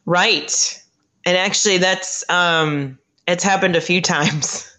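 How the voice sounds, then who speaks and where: A woman who works as a late-night radio host, chatting as a podcast guest, halting and laughing often as she talks. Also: on the low side, fairly even intonation, sharp tone. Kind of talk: casual chat.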